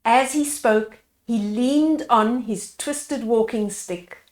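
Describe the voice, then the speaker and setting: A woman reading a children's picture book aloud as a storyteller, pausing to explain the pictures to young listeners. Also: fairly high pitch. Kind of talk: read-aloud storytime narration with asides to children.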